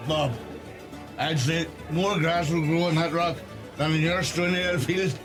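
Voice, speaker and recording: Actor as a high-voiced teasing Irish pub regular. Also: male voice, steady tone, a little slow, lightly acted. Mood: mocking. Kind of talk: radio play acting. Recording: music under the voice.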